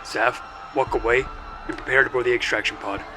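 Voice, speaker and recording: An actor playing a cold, controlled military commander giving calm, clipped orders in an audio drama. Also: male voice, medium pitch, a little fast, soft background music, lightly acted. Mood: cold, commanding.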